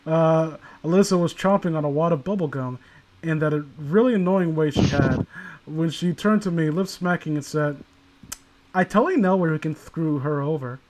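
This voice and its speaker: A man's voice: on the high side, moderate pace, a little mellow, slight background noise, low-quality recording. A man hosting a comedic read-along, reading a story aloud and riffing on it irreverently, prone to breaking into laughter. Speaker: host reading a creepypasta aloud with friends.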